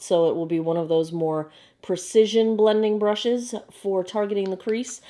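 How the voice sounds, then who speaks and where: A young woman with a hoarse, croaky voice, chatting casually as she unboxes beauty products to camera. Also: fairly low pitch, a little mellow. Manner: chatty, bubbly, quick asides. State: hoarse, frog in throat. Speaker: beauty vlogger.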